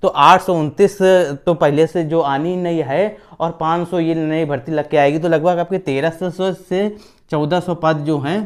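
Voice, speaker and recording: A man's voice: a little high, fairly even intonation, measured pace, clean tone. A man hosts an informal explainer on recruitment updates, repeating phrases for emphasis and often checking in with his listeners. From India. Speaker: host of an exam-news channel.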